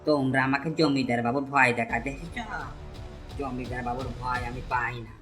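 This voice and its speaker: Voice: medium pitch, steady tone, moderate pace, fairly bright tone, faint music behind, natural voice. A performer voicing an insolent, greedy male village servant in a cartoon folk tale, brash and sneering. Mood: contemptuous.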